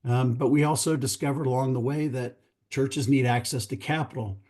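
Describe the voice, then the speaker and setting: Brief: hoarse middle-aged man presenting to pastors on a video call, earnest and conversational, mellow low tone. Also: steady tone, normal speed. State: losing his voice, hoarse. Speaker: church real estate company founder.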